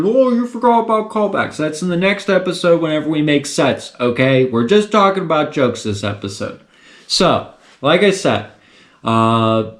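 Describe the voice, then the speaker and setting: A man giving a casual how-to lesson on stand-up comedy, talking with lots of personality and big swings in pitch. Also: reverberant. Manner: animated, swings pitch widely for effect. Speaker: comedy teacher.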